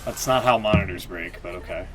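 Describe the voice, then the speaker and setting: A man's voice: low voice, fairly quick, music in the background, rough recording. A man giving casual, informal live-stream commentary on a game, musing aloud and hesitantly.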